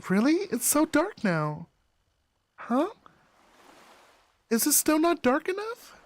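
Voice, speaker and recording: Man putting on a high, hesitant young woman. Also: huge pitch swings, slow, no room sound, heavily acted. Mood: uneasy, hesitant. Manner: hesitant, breaking off.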